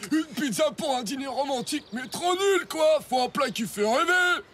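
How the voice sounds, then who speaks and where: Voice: high voice, expressive, normal speed, a bit bright. An actor playing a pompous cartoon schoolboy who lectures his friends in slangy talk as a romance expert. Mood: condescending. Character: know-it-all schoolboy, self-appointed romance expert. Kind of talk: animated cartoon dialogue. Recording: music behind the voice.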